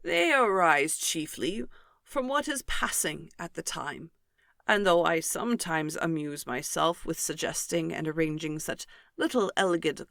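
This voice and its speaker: A woman's voice: fairly low pitch, vocal fry, a bit bright, no echo, clean studio sound, exaggerated voice. A woman reading the part of a pompous, obsequious male cousin in a storybook, with formal, self-important delivery. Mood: self-satisfied. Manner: pompous, slow and deliberate, formal wording. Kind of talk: audiobook character reading.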